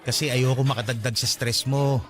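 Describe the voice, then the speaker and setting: An actor playing an earnest, eager suitor on a date in a radio drama, speaking conversationally with a Filipino accent. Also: male voice, medium pitch, fairly even intonation, normal speed, a bit bright, lightly acted. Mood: attentive. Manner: courting, conversational.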